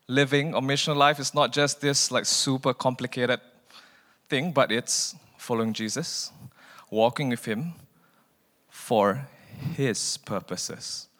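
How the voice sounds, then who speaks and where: A man from Malaysia giving a guest sermon in a relaxed, chatty way, often repeating a word as he starts a phrase. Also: medium pitch, long reverb tail. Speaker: guest preacher. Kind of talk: informal sermon.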